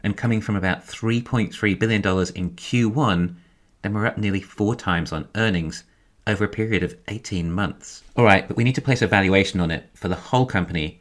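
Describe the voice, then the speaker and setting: A man giving a scripted financial commentary in a measured, explanatory way. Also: on the low side. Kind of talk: scripted narration, financial analysis.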